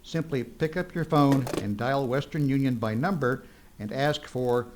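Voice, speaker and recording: An actor playing a friendly 1940s radio pitchman, reading a scripted advertisement in a folksy, conversational, salesmanlike way. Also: a little slow, noisy background, lightly acted. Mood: folksy, upbeat.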